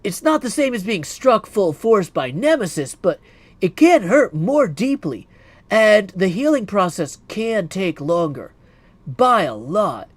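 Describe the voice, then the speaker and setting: A man's voice: high voice, very animated, unhurried, dry recording, exaggerated voice. A man voicing an earnest young woman, a caring but forthright witch in a video game, speaking emphatically. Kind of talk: video game character acting.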